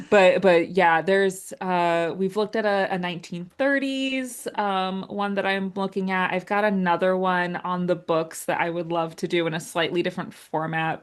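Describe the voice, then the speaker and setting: Woman, lowish pitch, casual interview chat, self-deprecating, jokey writer guest. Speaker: audio drama writer and guest.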